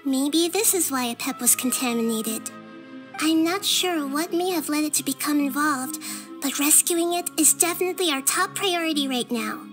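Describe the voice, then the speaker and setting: A woman voicing a gentle, child-sized female deity in a video game, speaking in a measured, thoughtful way. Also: high-pitched, over music, close to an everyday voice. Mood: solemn, explanatory. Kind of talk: video game character dialogue.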